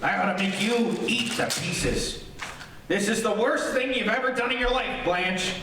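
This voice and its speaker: A man's voice: high-pitched, a little slow, bright, forceful tone, a little hiss or hum, full character voice. A man playing an exasperated, sarcastic, bickering husband in old-time radio comedy style. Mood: exasperated, aggrieved. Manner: griping, sarcastic. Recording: very echoey hall, distant microphone.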